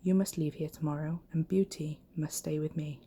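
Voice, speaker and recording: A woman plays a big, lonely storybook beast with a kind heart. The voice is low, mellow and breathy, speaking softly and earnestly in measured phrases. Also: steady tone. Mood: calm, courteous. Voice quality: soft, breathy.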